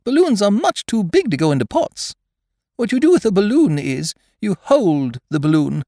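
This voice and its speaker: A man's voice: high voice, big pitch swings, normal speed, warm tone, dry recording, studio quality, pitched above the performer's own voice. A man voicing a cheerful, simple bear in a storybook, earnest and eager. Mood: happy, earnest.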